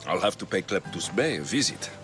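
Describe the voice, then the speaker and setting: A cocky young mercenary in a video game cutscene. His voice sits fairly low but moves in very lively swings, wry and taunting, sure of himself. Music is clearly present behind it. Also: fairly quick. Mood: confident, bargaining. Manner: wry, taunting, self-assured. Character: cocky, dry-witted young male Greek mercenary.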